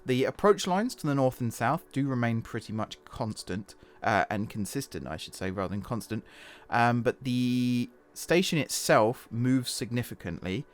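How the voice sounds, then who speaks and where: A man, slightly hoarse, narrating his own gameplay in a rambling, self-correcting, casual voice-over. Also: mid-range voice, animated, moderate pace. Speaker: gaming channel host. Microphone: desktop voice-over mic.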